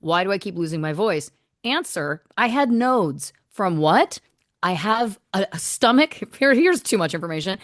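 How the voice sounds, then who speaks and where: Chatty, lively woman telling stories. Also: mid-range voice, dry recording, studio quality. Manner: chatty, animated storyteller with quick asides. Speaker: musician guest. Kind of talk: casual interview chat.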